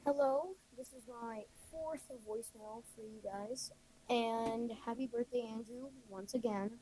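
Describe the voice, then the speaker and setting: A female caller leaving a casual voicemail, halting and repeating herself. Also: a little high, warm tone, a little hiss or hum, low-quality recording.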